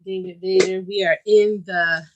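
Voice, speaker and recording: A woman's voice: mid-range voice, fairly even intonation, moderate pace, background noise. A chatty woman co-hosting a casual livestream, greeting viewers by name, recorded on a camera-mounted mic in a home toy museum room.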